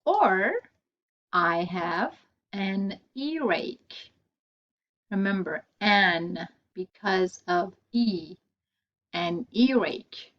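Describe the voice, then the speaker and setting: A woman gives an English vocabulary lesson at an extremely slow pace, repeating every phrase with care for learners. Her voice sits at a middle pitch. The recording sounds a little dull. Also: lively intonation, a bit bright. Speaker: English teacher.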